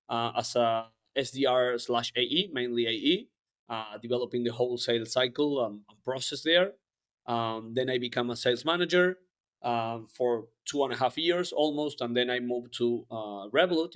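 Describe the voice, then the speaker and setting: A man's voice: mid-range voice, normal speed, a bit bright. A man, speaking English as a podcast guest in a rambling, casual way with many fillers. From Argentina. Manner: rambling, frequent fillers and restarts. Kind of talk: casual interview chat.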